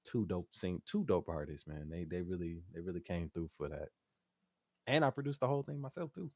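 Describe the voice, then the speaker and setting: A young man with a very low voice talks through how he made a song, relaxed and easygoing with plenty of casual filler. The sound is dry and close-miked. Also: brisk, dry recording. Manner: relaxed, hums melodies, laughs easily. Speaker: guest R&B singer and producer. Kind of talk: casual interview chat.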